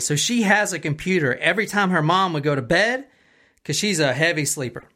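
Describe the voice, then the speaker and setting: A woman's voice: low voice, a bit bright. A woman hosting a true-crime talk, telling the story conversationally and often checking that listeners follow.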